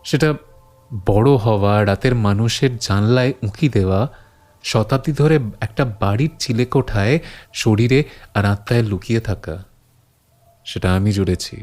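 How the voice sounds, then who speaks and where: A man playing a grave first-person narrator of a horror audio story, solemn and halting, drawing out phrases for suspense. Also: fairly low pitch, darkish tone, lightly acted. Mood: grave, ominous. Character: grave male narrator recounting old forbidden horrors to his friend. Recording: long reverb on the voice.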